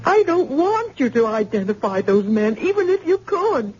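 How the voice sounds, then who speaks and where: A woman's voice: sits high, big pitch swings, normal speed, darkish tone, husky, exaggerated voice. An actress playing a frightened wife who pleads with her wounded husband, in an old radio drama. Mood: pleading. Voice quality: pleading and emotional. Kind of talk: vintage radio drama acting. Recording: dull lo-fi old radio recording with faint hiss.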